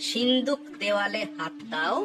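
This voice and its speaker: A woman voicing a clever, quick-witted male jester in a cartoon, with a confident, declamatory manner. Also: medium pitch, lively intonation, music in the background, lightly acted. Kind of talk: cartoon voice acting.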